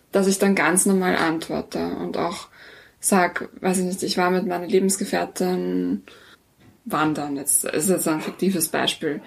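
A woman's voice: medium pitch, creaky. A woman with an Austrian accent, an interview guest explaining things casually and often rephrasing herself. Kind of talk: casual reflective interview talk.

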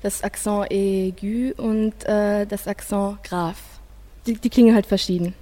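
A teenage girl, a school student, presenting conversationally with classmates and speaking in a halting way. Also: mid-range voice, steady tone, warm tone. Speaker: school student in a radio project.

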